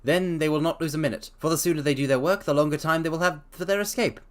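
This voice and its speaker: Man doing a stern victorian detective, raised pitch, loud, crisp, commanding. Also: fast talker, natural voice.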